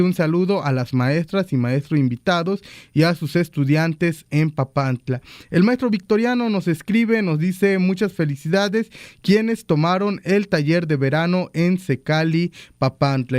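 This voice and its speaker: A male radio host with a clean, resonant voice sits fairly high in his range. He greets listeners in Totonac and Spanish, often pausing on "eh" between names. His first language is Totonac, and his voice is close and dry in the studio. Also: darkish tone, smooth, no echo. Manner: switches between Totonac and Spanish, frequent "eh" fillers.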